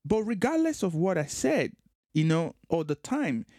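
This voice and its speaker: A man's voice: sits high, big pitch swings, moderate pace, darkish tone, dry recording, studio quality. A man from Nigeria, hosting a podcast conversation and reasoning aloud, often restarting and repeating phrases as he works through an idea. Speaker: podcast host and author.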